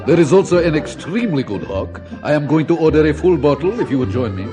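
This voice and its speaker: A man's voice: fairly high pitch, sing-song. Jovial Russian trade man, sly charmer, swooping intonation, radio drama with music. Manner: genial, chuckling, halting English phrasing.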